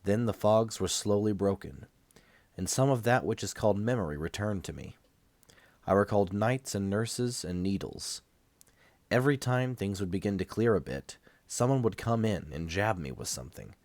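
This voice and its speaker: Male narrator for first-person fiction, low register, measured and dramatic, slightly under a cold, dry sound. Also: animated, a little mellow, no echo. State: recovering from a cold. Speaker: amateur audiobook reader.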